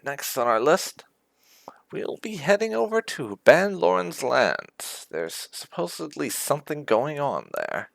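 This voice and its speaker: Slow, gravelly man commenting on gameplay. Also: fairly high pitch, big pitch swings, no room sound. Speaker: gaming channel host.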